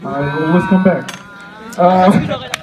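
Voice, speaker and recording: A young man doing conversational stand-up comedy, halting and self-deprecating, acting out short exchanges. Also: high-pitched, distant mic, noisy background. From Egypt. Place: live stand-up show in an echoey room. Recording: dull, echoey live room recording.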